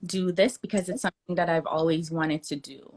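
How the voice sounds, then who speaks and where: A woman esthetician and mother of two, a guest in a conversational interview over a video call, telling her story in a relaxed way. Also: fairly low pitch, fairly quick.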